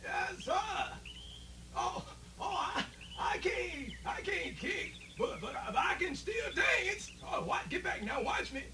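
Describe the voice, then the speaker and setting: A man playing a folksy, cheerful old country storyteller, stammering and muttering in a gravelly voice for radio theatre. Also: extremely high pitch, sing-song, bright, forceful tone, a little hiss or hum, full character voice. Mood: chatty, spirited. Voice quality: creaky, gravelly. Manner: stammering, muttering, drawn-out rustic talk. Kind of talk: radio theatre character acting.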